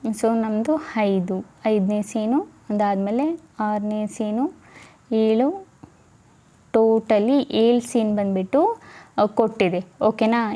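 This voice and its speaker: A woman's voice: a little high, dark tone. A woman presenting a casual step-by-step tutorial, instructing and often checking in with listeners. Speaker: tutorial host.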